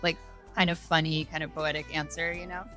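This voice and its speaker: Bright, slightly low-pitched female guest. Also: flat delivery, fairly quick, soft background music. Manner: easygoing, thinking aloud. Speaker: guest, wine bar owner. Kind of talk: casual conversational explanation.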